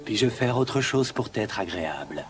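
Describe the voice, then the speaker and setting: A man playing a stately, obedient genie in an animated film, with a heavy echo effect. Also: fairly low pitch, a little slow. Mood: solemn, obliging. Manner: stately, servile. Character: solemn, obedient male genie of the ring. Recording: very echoey, distant, loud music behind.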